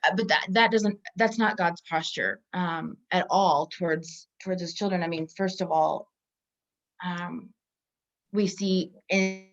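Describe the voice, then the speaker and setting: A woman hosting a conversational Bible discussion, explaining in halting phrases and often correcting herself as she goes. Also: on the low side. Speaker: host of a Bible discussion podcast. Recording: muffled, dull lo-fi audio.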